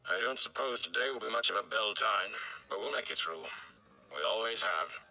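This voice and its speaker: Weary male village blacksmith for a fantasy storybook, acted by a male reader: steady, homespun, gravelly, gruffly reassuring. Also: lowish voice, moderate pace, exaggerated voice, poor audio. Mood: stoic, resolute.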